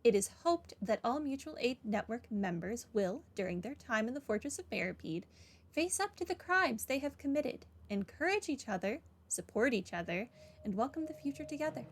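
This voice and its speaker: A woman's voice: a little high, very animated, moderate pace. A woman game streamer with a wry manner, casually chatting and reading aloud during a playthrough. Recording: game music behind.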